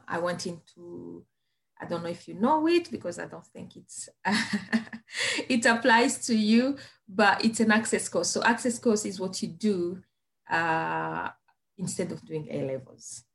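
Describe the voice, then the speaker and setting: Hesitant woman from Rwanda, lowish voice. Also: slow pace, gravelly. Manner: hesitant, many fillers and restarts. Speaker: podcast guest, business founder. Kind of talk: casual interview storytelling.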